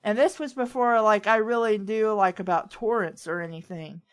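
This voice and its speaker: A woman's voice: medium pitch, moderate pace, clean tone. A woman chatting casually as a host, giving informal advice and personal anecdotes. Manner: informal, anecdotal, occasionally profane. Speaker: host of a writing advice talk.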